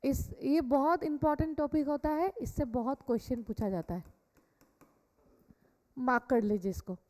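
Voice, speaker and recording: High-pitched Indian woman teaching, echoey room. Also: fairly even intonation, moderate pace, darkish tone. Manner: instructional, repeats key points, frequent check questions. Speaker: teacher of a company law class. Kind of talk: classroom lecture mixing Hindi and English. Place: classroom with students also attending online. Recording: echoey hall-like room reverb.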